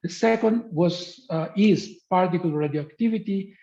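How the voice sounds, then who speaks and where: A man from Greece presents a science lecture on a video call, pausing often with fillers as he goes. His voice is mellow and sits a little high, and the call audio is dull and lo-fi. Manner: hesitant, many fillers. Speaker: guest speaker, professor. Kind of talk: webinar lecture. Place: remote spot in the countryside.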